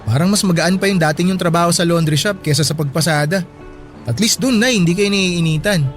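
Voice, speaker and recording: An actor playing a caring college-age son in a Filipino radio drama, speaking politely and gently with earnest deference. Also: male voice, high voice, fairly quick, faint music behind, natural voice. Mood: worried.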